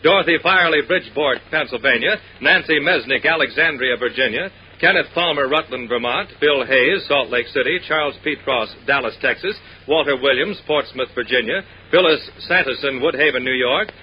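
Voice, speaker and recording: A man's voice: mid-range voice, moderate pace. A man working as a 1940s radio announcer, reading out scripted announcements. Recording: dull, faintly noisy 1940s radio recording.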